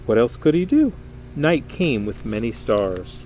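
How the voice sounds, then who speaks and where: A man reading a children's story aloud in an expressive, dramatic, emphatic way. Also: mid-range voice, a little slow, a little hiss or hum, rough recording. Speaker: storybook reader.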